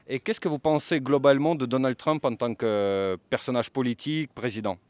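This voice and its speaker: A man's voice: mid-range voice, a little fast, darkish tone, smooth, no room sound. A man working as a street interviewer, asking questions politely and formally and giving his commentary. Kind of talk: vox pop interviewing and commentary.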